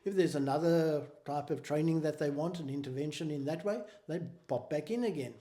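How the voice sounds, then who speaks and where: Charity founder with a South African accent, mellow and slightly high-voiced, measured and explanatory. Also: male voice. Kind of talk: interview answers.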